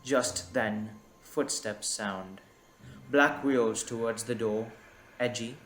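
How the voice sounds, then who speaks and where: A man reading scripted dramatic narration in a deliberate, suspenseful manner. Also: mid-range voice, a bit bright, slight background noise. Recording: echoey, music underneath. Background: music.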